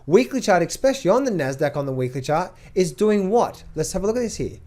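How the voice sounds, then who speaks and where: Male trading coach, slightly high voice, emphatic chart commentary full of rhetorical questions and repeated words. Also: big pitch swings, warm tone. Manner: emphatic, fires rhetorical questions and answers them; repeats words in quick bursts. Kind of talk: market update over charts.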